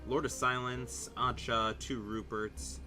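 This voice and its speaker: A man's voice: mid-range voice, level tone, slow pace. A man giving casual commentary as he plays through a story game. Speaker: game streamer. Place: indoors, voice over game audio. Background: game music.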